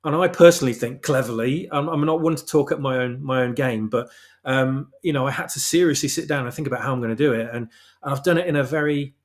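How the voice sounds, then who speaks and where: A man's voice: medium pitch, fast. A man giving emphatic opinions in a casual discussion, often repeating his phrases for weight. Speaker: guest, fan-fiction writer.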